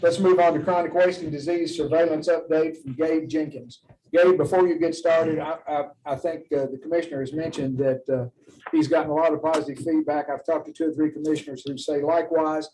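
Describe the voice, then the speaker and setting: Flat-toned man chairing a meeting, slightly distant. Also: a little high, a little fast, crowd noise. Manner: procedural, courteous. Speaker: commission chair. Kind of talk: chairing a formal public meeting. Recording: distant mic, faint background noise.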